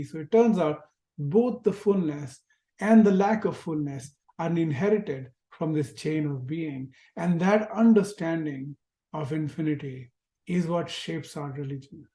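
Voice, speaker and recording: A man with an Indian accent giving a reflective spiritual lecture to an online class, asking gentle rhetorical questions. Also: on the high side, a little slow. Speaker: teacher. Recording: roomy echo.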